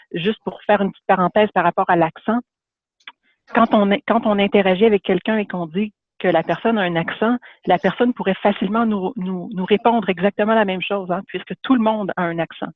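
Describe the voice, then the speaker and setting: High-voiced Canadian French man lecturing. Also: no echo. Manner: hesitant, many fillers and restarts. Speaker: webinar presenter. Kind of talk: lecture for an online audience. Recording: phone-like dry webinar audio.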